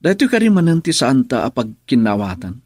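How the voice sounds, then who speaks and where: A man's voice: mid-range voice, sing-song, fast, darkish tone, no room sound, clean studio sound. A man acting a defensive, troubled husband in an emotive Ilocano radio drama. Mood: defensive, pleading.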